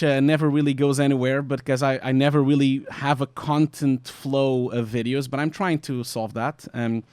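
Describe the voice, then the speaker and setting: A man's voice: a little high, clean tone, no room sound. A man in his forties with a Portuguese accent, chatting as a podcast co-host in an informal, rambling, joking way. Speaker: podcast co-host, visual effects supervisor. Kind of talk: casual podcast chat.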